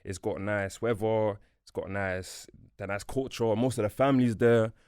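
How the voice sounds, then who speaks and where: Laid-back young man, Portuguese-born, low voice. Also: steady tone, moderate pace, darkish tone, clean tone, dry recording, clean studio sound. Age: young adult. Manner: laid-back street slang, fillers like "you feel me", "bro". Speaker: guest. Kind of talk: casual interview chat. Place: podcast studio.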